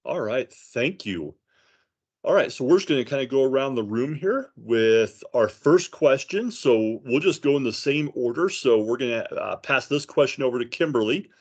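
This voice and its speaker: A man hosting an online panel by video call, unhurried and loose with his fillers. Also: medium pitch, lively intonation, husky, dull audio. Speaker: panel moderator.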